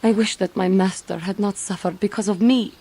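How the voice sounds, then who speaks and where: A woman's voice: medium pitch, steady tone, a little fast, warm tone, slightly rough. A woman playing a proud, bitter fallen Jedi tormented by guilt, with intense, theatrical and formal delivery in a video game. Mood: remorseful. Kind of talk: dramatic video game voice acting.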